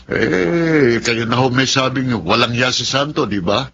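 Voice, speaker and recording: A Filipino man in a radio drama, a mid-pitched drinking buddy who is polite yet evasive and defensive. The sound is muffled with faint noise. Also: measured pace, gravelly. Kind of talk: Tagalog radio drama acting.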